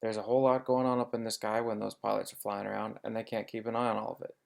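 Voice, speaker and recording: Low, gravelly man giving a presentation. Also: level tone, fast talker. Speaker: air traffic controller giving a presentation. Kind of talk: informative slide presentation.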